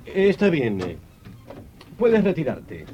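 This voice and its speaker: A man's voice: a little high, huge pitch swings, slow pace, warm tone, soft background music, exaggerated voice. An actor playing an eager henchman in a secret plot in a radio drama. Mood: curt.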